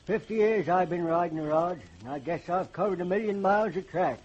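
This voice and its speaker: An actor playing an old American hobo, genial and folksy, rambling in colloquial speech in a 1940s radio drama style. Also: male voice, high-pitched, fairly quick, a little mellow, husky, highs cut off. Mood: genial, humble. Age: older. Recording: noisy vintage radio recording.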